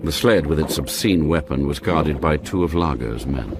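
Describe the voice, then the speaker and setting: An actor narrating an action spy thriller for radio drama in a measured, deliberate storytelling manner. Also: male voice, a bass voice, expressive, very noisy. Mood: mounting tension. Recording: loud dramatic music under narration.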